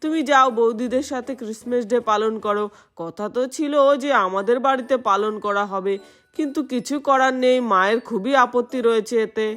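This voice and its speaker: A woman's voice: on the high side, brisk, natural voice. A woman voicing an agreeable, reassuring adult male doll in a children's story, explaining things conversationally. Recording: music behind the voice.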